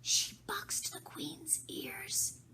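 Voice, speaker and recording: A woman's voice: pushed and bright, exaggerated voice, low-quality recording. Woman as an anxious, whispering little rabbit. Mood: secretive. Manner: whispered, hurried, frightened.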